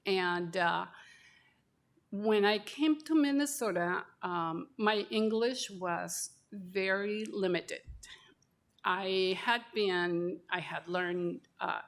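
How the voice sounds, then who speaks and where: A woman in her sixties from Mexico City, a native Spanish speaker with a Mexican Spanish accent, telling her personal story at a conference session, halting and full of fillers. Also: mid-range voice, fairly bright tone. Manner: slow, halting, with frequent fillers. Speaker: call center representative and speaker. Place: conference breakout room.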